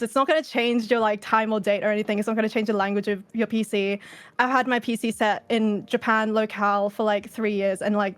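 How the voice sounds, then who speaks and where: A woman's voice: a little high, dry recording. A woman with an Australian accent giving a casual, informal fan talk as a panel presenter, swearing now and then. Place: indoors, virtual convention presentation.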